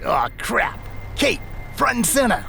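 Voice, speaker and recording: A man playing a gruff, blunt, impatient veteran henchman in an audio drama, with a gravelly, raspy voice. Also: very high-pitched, very animated, a little slow, bright, forceful tone, faint music behind, full character voice. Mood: blunt scorn.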